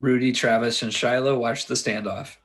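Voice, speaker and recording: A man of average pitch narrates a film script at a table read. His delivery is casual and a little stumbling, reading stage directions and scene headings. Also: steady tone, normal speed, a bit bright. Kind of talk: reading screenplay action lines.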